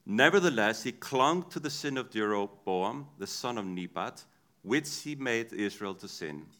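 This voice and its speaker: A man's voice: lowish voice, expressive, a little slow, pushed and bright. A man with an Icelandic accent, a native Icelandic speaker, preaching a sermon in a conversational storytelling way with frequent restarts.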